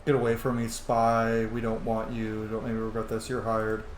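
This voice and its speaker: A man giving casual, sweary, playful commentary as he plays a video game, excitable in his delivery. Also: fairly low pitch, normal speed. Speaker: gamer, let's play host. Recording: roomy echo, faint background noise.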